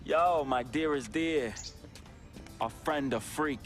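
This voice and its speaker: An actor playing a cocky, slangy American teenage slacker who jokes and boasts in a video game story. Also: male voice, a little high, slow pace, soft background music. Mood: joking, slangy. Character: cocky, joking teenage slacker best friend.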